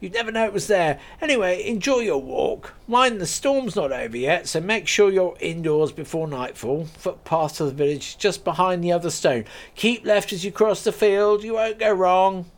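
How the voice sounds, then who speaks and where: Male reader as jovial middle-aged farmer, teasing, lively lilt. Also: high-pitched, normal speed, hardly any pauses, pitched above the performer's own voice. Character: cheerful rural farmer man in his forties.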